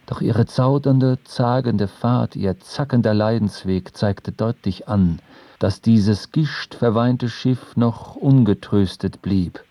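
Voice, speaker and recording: Male narrator telling a sea tale, mellow soft-edged voice, dry close studio sound, measured. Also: lowish voice, no echo. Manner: measured, storytelling. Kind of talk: literary audio drama narration.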